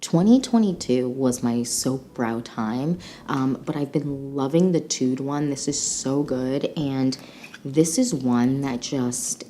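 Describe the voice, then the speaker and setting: Casting: female beauty vlogger, low-pitched and nasal from a mild cold, gushing casual delivery, faint rumble behind. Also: slow pace, mellow. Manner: gushing, enthusiastic. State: slightly sick, congested. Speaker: beauty channel host. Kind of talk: casual chatty product review to camera.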